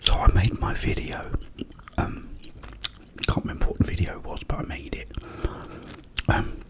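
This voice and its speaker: A British man whispering a casual, rambling ASMR story up close to the microphone, with pauses. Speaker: ASMR video creator.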